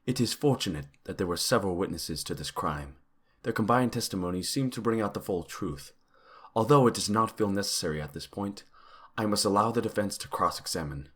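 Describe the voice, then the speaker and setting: A man playing a solemn judge in an audio drama, speaking formally and deliberately. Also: lowish voice, animated, a bit bright, breathy edge.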